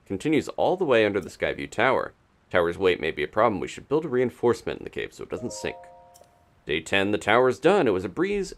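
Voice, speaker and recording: A man reading aloud an upbeat construction worker's field report from a video game, in a storytelling way. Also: fairly low pitch.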